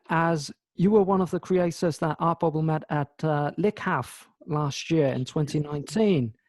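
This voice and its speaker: Bright-voiced male host, dry sound, soft music. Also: on the high side, no room sound. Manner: friendly, upbeat introductions and questions. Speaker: interview host. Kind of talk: online interview hosting.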